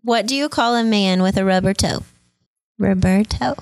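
A woman on a church staff who asks joke riddles in a brisk, matter-of-fact way. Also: mid-range voice, no room sound. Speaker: staff member. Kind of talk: telling quick joke riddles.

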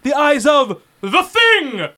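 A man's voice: very high-pitched, sing-song, slow pace, bright, forceful tone, no room sound. A man narrating a comic-book radio play in a melodramatic, suspenseful scripted style. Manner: dramatic, suspenseful.

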